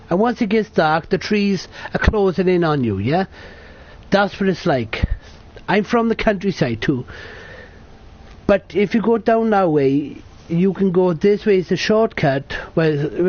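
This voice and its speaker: A British man in his late thirties from the UK, telling a personal story to camera in a rambling, sweary, self-correcting way. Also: high voice. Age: 30s. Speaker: vlogger. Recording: dull lo-fi sound.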